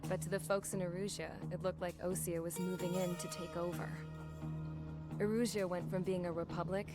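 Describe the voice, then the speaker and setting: An actress voices a young woman pilot telling her war story for a game cutscene. Her voice sits a little low, and she is blunt, wry and world-weary. Loud music plays behind her. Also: little pitch movement, fairly quick, lightly acted. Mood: grim, weary. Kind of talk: first-person scripted game narration.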